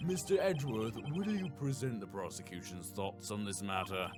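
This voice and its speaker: A man voicing a stern elderly male courtroom judge in a game, formal and measured. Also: on the low side, over music, pitched below the performer's own voice, close to an everyday voice. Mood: stern, solemn.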